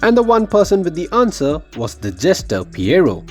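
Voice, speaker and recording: A man's voice: a little high, wildly swooping pitch, moderate pace, darkish tone, faint music behind. A man reading a scripted game lore theory voice-over with dramatic, emphatic phrasing. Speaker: video game lore YouTuber.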